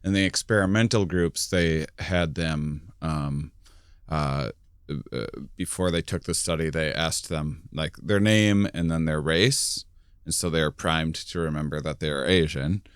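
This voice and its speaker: A man hosts a podcast interview in a deep, smooth, resonant voice. The sound is dry and close, and he rambles with plenty of fillers. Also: measured pace, dry recording. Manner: rambling, restarts with many fillers. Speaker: podcast host. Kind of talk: casual interview conversation.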